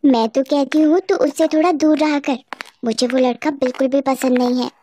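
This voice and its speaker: Woman playing cautious teenage cartoon schoolgirl, protective, earnest, admonishing, high pitch. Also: fairly even intonation, moderate pace, dark tone, a little hiss or hum, lightly acted. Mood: disapproving.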